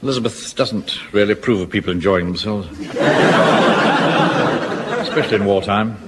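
An actor playing a pompous, fussy middle-aged bank manager who commands a home guard platoon, in an old radio comedy. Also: male voice, on the low side, normal speed, close to an everyday voice. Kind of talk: 1970s radio comedy acting. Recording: old radio recording with loud hiss.

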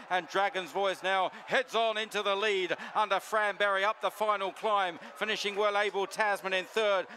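A man's voice: fairly high pitch, bright, forceful tone, slight background noise. A man giving live horse racing commentary, describing the field in a running call that can build to urgency.

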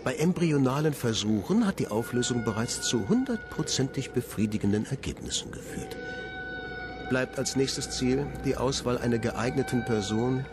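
A man playing a coldly clinical scientist who dictates a research report in formal, measured words in a radio drama. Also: medium pitch, huge pitch swings. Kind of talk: scripted monologue in a radio drama.

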